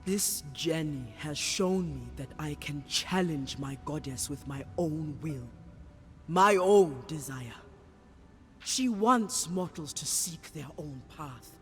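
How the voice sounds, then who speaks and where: Solemn, devout sea-goddess priestess for a fantasy game; unhurried, ceremonial delivery in a reverberant hall. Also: female voice, sits low, lively intonation. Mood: reflective, firm. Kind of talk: dramatic game cutscene acting. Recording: reverberant hall with faint music behind.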